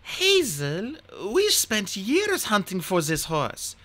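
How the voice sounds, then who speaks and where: A woman voicing a proud, calculating Amazon queen in a storybook reading, speaking in a measured, commanding way. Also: mid-range voice, wildly swooping pitch, a bit bright, pitched above the performer's own voice, full character voice.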